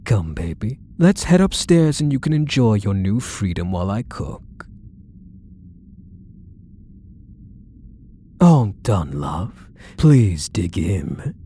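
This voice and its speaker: A man's voice: on the low side, big pitch swings, measured pace, few pauses, warm tone, a little hiss or hum. A man playing a possessive, doting kidnapper husband in an intimate ASMR roleplay, cooing and coaxing with pet names. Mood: tender, cooing, then sweetly possessive. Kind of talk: ASMR roleplay audio drama, intimate monologue to the listener.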